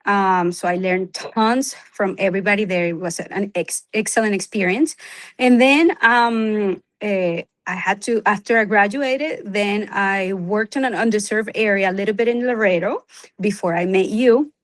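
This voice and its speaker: A woman's voice: medium pitch, normal speed. Hesitant Venezuelan-born woman doctor. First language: Spanish. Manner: hesitant, many fillers and restarts. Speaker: OB/Gyn physician, guest. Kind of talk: conversational interview.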